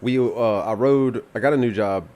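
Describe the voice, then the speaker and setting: A man's voice: mid-range voice, moderate pace, a little mellow. A man hosting a live music review stream, congested from the flu, talking in a rambling, laid-back way with casual swearing. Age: adult with children.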